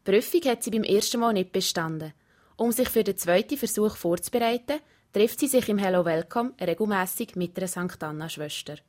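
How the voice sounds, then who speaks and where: A woman's voice: mid-range voice, fairly quick, a little mellow. Young Swiss German woman narrating a report. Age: young adult. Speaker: student reporter. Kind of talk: scripted radio report narration.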